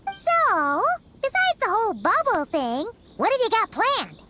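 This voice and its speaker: A woman voicing a tiny, cheerful, cheeky fairy companion in an anime game, squeaky and childlike. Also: wildly swooping pitch, measured pace, background noise, exaggerated voice. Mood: curious, teasing. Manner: perky, refers to self in third person. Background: background music.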